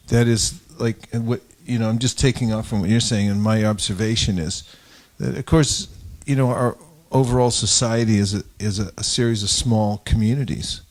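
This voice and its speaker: A man with a fairly low voice takes part in a spiritual discussion as a teacher. He explains his observations informally, feeling his way through sentences in a reflective, halting manner. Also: a little mellow. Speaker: religious teacher in a group discussion.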